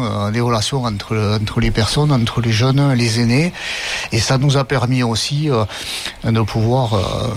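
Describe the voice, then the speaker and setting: A man with a middle-range voice answers interview questions as a local resident. He is relaxed and fills the gaps between phrases with hesitations, over faint background ambience. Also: medium pitch, a little hiss or hum.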